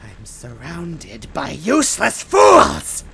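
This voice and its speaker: A man puts on a furious storybook witch villainess. The voice is a high, screeching shriek with a sharp, forceful edge and wild swoops. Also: wildly swooping pitch, slow, creaky, husky, exaggerated voice. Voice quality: screechy, shrill. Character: raging female arch-villain casting a spell.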